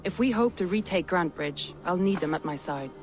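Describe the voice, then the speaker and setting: A woman's voice: lowish voice, expressive, brisk, lightly acted. Woman acting as a proud, commanding Viking lord. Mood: proud, frank. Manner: commanding, guarded, earnest. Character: proud, resolute female Viking lord and war leader. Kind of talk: video game dramatic acting. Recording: music behind the voice.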